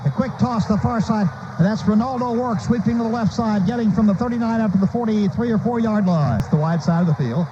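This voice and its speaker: A man's voice: sits high, quick pace, few pauses. An American man calling a live football game on the radio in clipped, urgent, staccato phrases. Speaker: radio play-by-play announcer. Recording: noisy, dull lo-fi radio broadcast.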